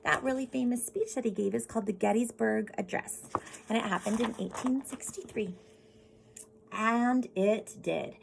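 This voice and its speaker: A woman's voice: mid-range voice, creaky, a little hiss or hum, low-quality recording. An American woman reads a picture book aloud as a teacher, explaining simply and putting questions directly to children. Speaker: teacher reading to pupils.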